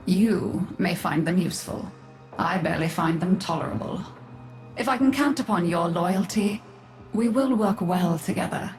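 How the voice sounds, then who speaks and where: Imperious matriarch voiced by a woman, lower pitch, middle-aged, cold, formal, disdainful, echoing, game acting. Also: lightly acted. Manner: haughty, formal, clipped. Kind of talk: video game character acting. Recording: echoing, with music underneath.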